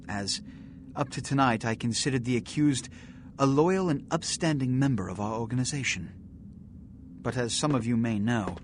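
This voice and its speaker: An actor playing a pompous, authoritative vampire prince in a video game, speaking in a formal, oratorical and measured manner. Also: male voice, medium pitch, slight background noise, natural voice. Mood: grave. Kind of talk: theatrical video game acting.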